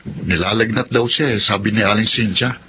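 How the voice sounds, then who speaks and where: A man's voice: lowish voice, a little fast, lo-fi sound, background noise, lightly acted. An actor playing a polite, helpful male office subordinate in a Filipino radio drama, hesitant and deferential.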